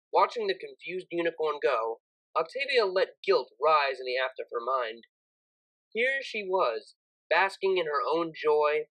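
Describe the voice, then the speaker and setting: A woman reading scripted fiction aloud as narrator in an expressive storytelling style. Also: low voice, rough recording.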